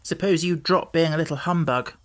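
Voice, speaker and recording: A woman's voice: deep voice, brisk, natural voice. Woman doing a blunt, grumpy schoolboy. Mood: snappish. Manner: snappish, brusque.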